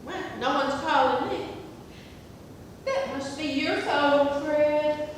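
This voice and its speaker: A woman's voice: sits high, slow. A woman acting as a chatty, scatterbrained, suspicious older wife in a stage comedy, theatrical and rambling. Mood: suspicious, indignant. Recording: very echoey theatre hall, distant, faint noise.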